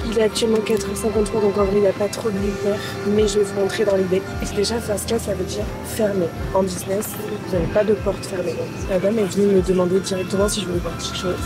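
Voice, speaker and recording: Woman vlogger in a plane seat, gushing and slangy, loud music underneath. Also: mid-range voice, low-quality recording. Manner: excitable, exclamatory, slangy. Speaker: travel vlogger. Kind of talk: excited casual vlog narration. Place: airplane cabin. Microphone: phone camera.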